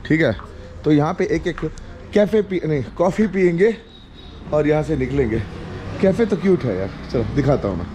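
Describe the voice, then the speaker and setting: A man's voice: fairly high pitch, expressive, moderate pace, background noise. A man in his forties vlogging a road trip, chatting casually and laughing easily as he films on a handheld camera. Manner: chatty, mixes in English and Kannada, laughs easily. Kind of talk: casual travel vlog. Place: car at night, then a cafe.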